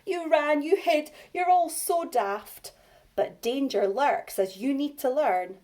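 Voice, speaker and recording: Woman voicing a high, shouty little trickster dinosaur boy. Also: animated, slow. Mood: teasing. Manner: shouting alarms, teasing, boastful. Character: mischievous young boy dinosaur crying false alarms.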